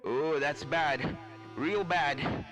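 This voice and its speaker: A man's voice: on the high side, very slow. A man voicing a fretful, kindly eccentric professor pony in a fan story reading, fussy and theatrical. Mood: grave, anxious. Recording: loud game music under the voice.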